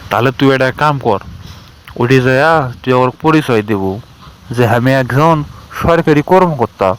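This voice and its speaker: A man's voice: mid-range voice, moderate pace, clear, resonant tone, natural voice, poor audio. A man with a northern Bangladeshi accent playing a desperate, pleading village TikToker in a cartoon skit. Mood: scheming, anxious. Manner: rambling, complaining, pleading. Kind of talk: colloquial dialogue in a cartoon skit.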